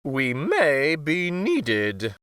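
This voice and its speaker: Man playing a brisk storybook queen, bright-toned. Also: medium pitch, wildly swooping pitch, clear, resonant tone, no room sound, clean studio sound, full character voice. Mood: firm. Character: brisk, insistent, motherly queen.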